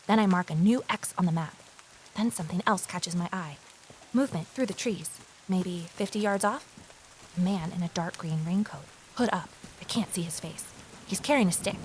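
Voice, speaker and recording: A woman's voice: mid-range voice, close to an everyday voice. Woman acting an inquisitive amateur sleuth, rain hiss behind. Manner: inquisitive, earnest, storytelling. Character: eager, nosy female ex-journalist amateur sleuth. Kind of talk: first-person audio drama dialogue and narration.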